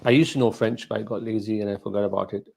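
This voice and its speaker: Middle-aged male scholar, Gujarati mother tongue, lowish voice, informal Q&A delivery, halting and thoughtful. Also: steady tone, vocal fry, darkish tone, breathy edge. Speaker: Islamic scholar hosting a question and answer session. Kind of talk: casual informal question and answer talk.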